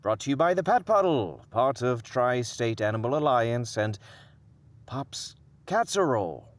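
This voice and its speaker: Lively, folksy small-town radio pitchman. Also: a little slow. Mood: stumbling promotion. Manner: hesitant, animated, alliterative patter. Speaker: radio announcer reading a sponsor spot. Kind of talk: comedic audio drama, folksy radio ad read.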